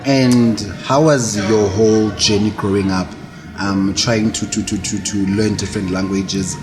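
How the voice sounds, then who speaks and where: A man's voice: on the low side, fairly even intonation, unhurried. A man hosting an online talk show, chatty and loose, often stumbling and repeating words. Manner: talkative, hesitant, stammers and repeats words. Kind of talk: casual interview hosting.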